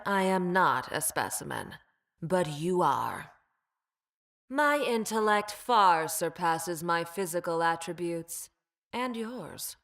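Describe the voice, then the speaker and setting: A cold, cat-like female bioweapon from a video game. She speaks slowly at a medium pitch, with measured, exact diction. Her manner is formal, clinical and superior, detached from those around her. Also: fairly bright tone. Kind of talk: video game character voice lines.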